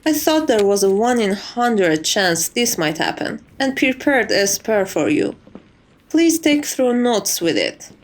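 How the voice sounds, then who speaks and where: A woman's voice: mid-range voice, sing-song, measured pace. A woman playing a composed, strict but fond chief maid in an ASMR roleplay, speaking formally and with polite condescension. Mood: businesslike.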